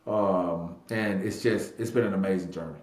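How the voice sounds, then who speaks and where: A man's voice: deep voice, fairly even intonation, moderate pace, a little mellow. A man of about thirty telling his story casually in an interview, emphatic, repeating phrases and acting out bits of dialogue. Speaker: real estate agent and investor being interviewed. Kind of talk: animated personal storytelling in casual talk. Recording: echoey room.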